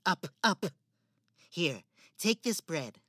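A woman's voice: on the low side, big pitch swings, slow, bright, forceful tone, husky, no echo, clean studio sound, full character voice. A woman playing a cold, manipulative stepmother in a spoken fairy-tale drama. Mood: bossy. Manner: manipulative, icy, theatrical.

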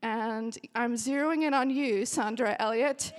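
A woman in her forties with a high, bright voice gives a warm, chatty welcome to a church retreat gathering. She sounds emotional and breaks into cheering bursts. Her voice rings out with a long reverb in a big hall. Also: measured pace. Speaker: worship director. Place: large hall with a live audience.

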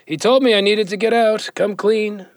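A man's voice: high voice, steady tone, moderate pace, dry recording. A man playing a weary, guilt-ridden former cop and compulsive gambler in an audio drama, brooding and confessional in manner. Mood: bitter regret.

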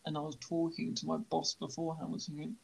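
A young man who stammers, hosting a podcast and speaking in a halting, reflective way. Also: a little high, monotone, creaky, darkish tone, slight background noise, low-quality recording. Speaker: podcast host. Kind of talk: podcast conversation.

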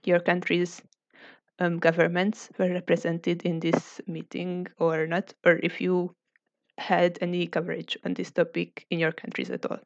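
A woman from Hungary leads a casual group chat over a video call. Her voice sits low and she pauses often, filling gaps with "um". The audio is dry and a little muffled. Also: monotone, dry recording. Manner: hesitant, many filler sounds. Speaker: discussion host. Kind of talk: casual online group discussion.

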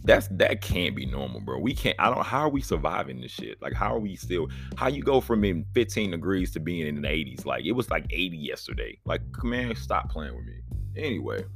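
A man's voice: big pitch swings, a little fast, vocal fry, soft background music. A man in his thirties with an Oklahoma accent, hosting a casual solo podcast in a rambling, profane, laid-back way. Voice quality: deep voice. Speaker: podcast host.

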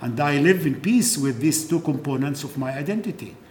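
A man's voice: a little high, lively intonation, normal speed, a little hiss or hum. Earnest man lecturing in echoey hall. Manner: earnest, re-enacts dialogues, frequent "okay?" tags. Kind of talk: lecture Q&A answer. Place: lecture room.

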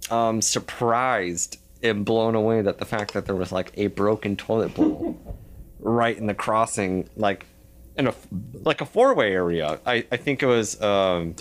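A man in his thirties with a lowish voice, chatting casually. He rambles through his stories with lots of filler words and grows animated as he goes. His delivery is loose and conversational. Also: measured pace. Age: 30s. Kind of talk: casual chat.

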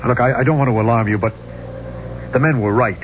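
A man's voice: medium pitch, quick pace, close to an everyday voice. Man acting a brash, insistent younger man, muffled. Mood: urgent. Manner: blunt, urgent, persuasive. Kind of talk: 1970s radio drama acting. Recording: muffled, noisy old radio recording.

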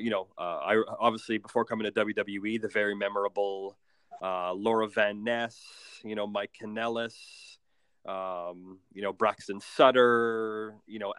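Low-voiced man with a cold. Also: a bit bright, no echo. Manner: enthusiastic fan talk, fillers. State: has a cold, raspy and phlegmy. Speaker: podcast co-host. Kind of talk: casual chat.

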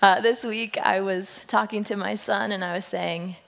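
A woman pastor with a husky, slightly hoarse voice speaks to a church congregation. She tells stories and jokes playfully, slipping into quoted voices. Her words trail off in the long reverb of a large sanctuary. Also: mid-range voice, normal speed. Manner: playful storytelling, self-deprecating jokes, lively quoted voices. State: husky voice today. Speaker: senior pastor. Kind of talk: humorous sermon to a congregation.

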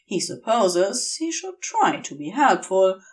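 Slow, expressive woman reading fiction. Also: medium pitch, far from the mic. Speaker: podfic narrator. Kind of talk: scripted fiction narration.

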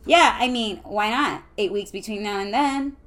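A woman's voice: medium pitch, normal speed, a bit bright, faint music behind, pitched above the performer's own voice, natural voice. A woman voicing a brash, teasing, joking teenage cheerleader girl in an audiobook story. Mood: persuasive. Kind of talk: audiobook character dialogue.

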